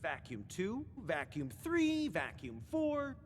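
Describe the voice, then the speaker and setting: A woman's voice: mid-range voice, wildly swooping pitch, slow pace, in a large hall, a little hiss or hum. A woman playing an eccentric, scatterbrained, book-obsessed librarian in a video game, rambling in sing-song rhymes and wordplay. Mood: welcoming, dotty. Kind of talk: theatrical video game character acting.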